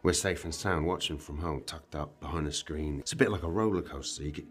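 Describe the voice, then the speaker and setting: A man playing a forward, creepy pub regular in a dark comedy audio drama, laid-back and insinuating. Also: extremely low pitch, brisk. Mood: darkly playful.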